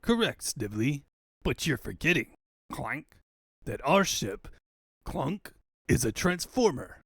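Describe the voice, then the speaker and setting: Man acting a pompous villainous tyrant, animated delivery. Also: mid-range voice, slow, vocal fry, husky, no echo, clean studio sound, full character voice. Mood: gloating. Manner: grandiose, gloating, bellowing orders. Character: pompous, vain villainous mad-scientist tyrant, easily enraged.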